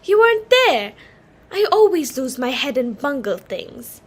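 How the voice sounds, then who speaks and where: A woman acts out a young society daughter in a stage comedy, high-voiced and very animated in pitch. She is flustered and apologetic, anxious and pleading as she talks. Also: a little slow. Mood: panicked, guilty. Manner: anxious, pleading, self-deprecating. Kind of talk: dramatic reading of a stage comedy.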